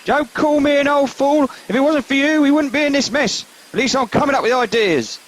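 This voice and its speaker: A man playing an eager, excitable old veteran soldier in a comedy radio play, keen and blustering. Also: extremely high pitch, brisk, slight background noise. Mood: indignant.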